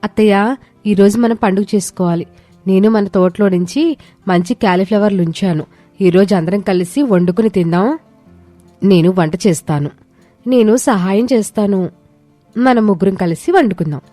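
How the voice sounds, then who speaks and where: A woman's voice: medium pitch, moderate pace, pauses often, mellow, no echo, natural voice. A woman voicing a spirited young village daughter-in-law in a moral story, earnest and emphatic. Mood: cheerful. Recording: music behind the voice.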